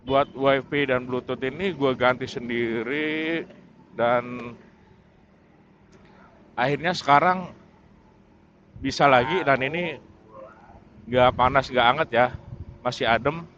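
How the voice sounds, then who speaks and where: A man's voice: medium pitch, a bit bright. A man chatting casually and hesitantly, rambling and repeating himself as he vlogs. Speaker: motovlogger reviewing his camera. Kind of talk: casual unscripted vlog chat. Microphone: wireless mic through helmet intercom. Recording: muffled intercom mic with clear vehicle noise. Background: vehicle and road noise.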